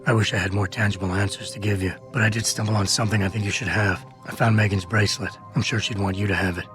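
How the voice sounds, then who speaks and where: A man acts out a brooding cybernetic security officer from a video game. His voice is low and gravelly, delivered deadpan in clipped, guarded phrases. Music plays faintly behind him. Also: fast, close to an everyday voice. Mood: grim, guarded.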